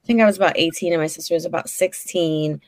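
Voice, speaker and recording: Woman, Brooklyn accent, forties, low voice, dry close sound, candid and down-to-earth. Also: steady tone, fast, darkish tone, dry recording. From Brooklyn, New York. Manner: candid, down-to-earth, laughs easily. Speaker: licensed clinical social worker, guest. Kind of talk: casual interview talk.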